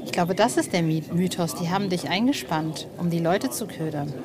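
A woman's voice: on the low side, animated, normal speed, noisy background. A woman interviewing someone in a casual, informal and effusive way.

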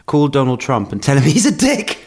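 A man's voice: mid-range voice, huge pitch swings, fairly quick, slightly rough. A man co-hosting a podcast in casual chat, giggly and playful with frequent laughs. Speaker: podcast co-host.

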